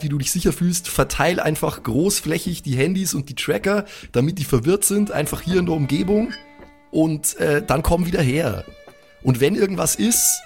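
A young man chats in character during an improvised role-play. His intonation is very lively and his speech is slangy, peppered with English. Faint music runs underneath. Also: a little high. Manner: slangy, mixes in English words. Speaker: player in a pen and paper podcast. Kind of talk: improvised in-character casual chat. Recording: faint music bed behind the voice. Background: faint background music.

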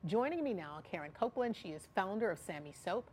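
A woman's voice: mid-range voice, big pitch swings, fast, darkish tone, slight background noise. A woman hosting a broadcast interview, speaking in an encouraging, affirming way. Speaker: talk show host.

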